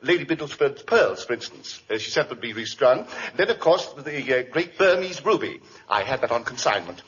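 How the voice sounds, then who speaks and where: Flustered indignant british shopkeeper, self-important, old radio drama, muffled noisy recording. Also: male voice, medium pitch, very animated, normal speed, a bit bright. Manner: pompous, spluttering. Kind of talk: 1940s radio acting.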